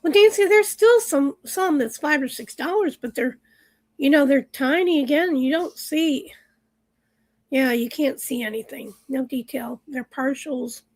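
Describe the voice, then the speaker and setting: A woman hosting a livestream, chatting casually and rambling with viewers while her voice is going hoarse. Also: sits high, unhurried. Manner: chatty, rambling, lots of fillers. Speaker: livestream host. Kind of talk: casual chat with live chat viewers.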